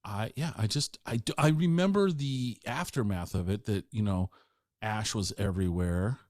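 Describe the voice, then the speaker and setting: A man's voice: on the low side, moderate pace, a little mellow, no echo, clean studio sound. A man in his sixties hosting a podcast interview, chatting casually and asking loose, meandering questions. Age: 60s. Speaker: podcast host.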